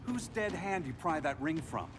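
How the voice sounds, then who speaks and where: A man acts out a weary retired superhero in a video game cutscene. His voice sits on the high side and moves around expressively, earnest with a wry, regretful edge. Loud music plays behind him. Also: animated, normal speed, close to an everyday voice. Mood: bitter, suspicious. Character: weary retired male speedster superhero seeking redemption. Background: loud cinematic music.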